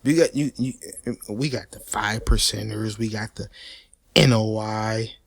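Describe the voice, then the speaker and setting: A man's voice: on the low side, a little slow, creaky, no echo. A man delivering an emphatic, unscripted opinion rant, swearing freely and restarting words often. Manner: animated, emphatic and repetitive, with frequent restarts and stutters; swears freely, street slang. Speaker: host of a personal commentary channel.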